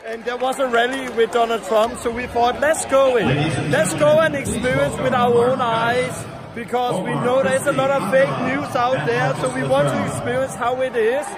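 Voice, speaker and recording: A man's voice: very high voice, level tone, moderate pace. A middle-aged man from Denmark with a Danish accent, vlogging to camera on a phone in a packed arena. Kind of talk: vlog to camera. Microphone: phone at arm's length. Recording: noisy arena with loud crowd. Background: loud rally crowd.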